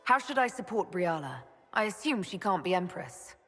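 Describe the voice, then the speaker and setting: A woman playing a composed, wary leader in a fantasy game, speaking in a measured, dryly wry way. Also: on the low side, big pitch swings, a bit bright, slightly rough, exaggerated voice. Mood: serious. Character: composed, wary female Inquisitor leading against demons. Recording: long hall reverb, music behind.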